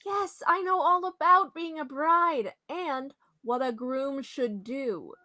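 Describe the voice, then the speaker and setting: A woman's voice: high voice, slow pace, bright, forceful tone, heavily acted. A woman playing a nosy, bossy, know-it-all hotel attendant in an old-time radio comedy mystery, with a brash, exclamatory manner. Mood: knowing, pushy.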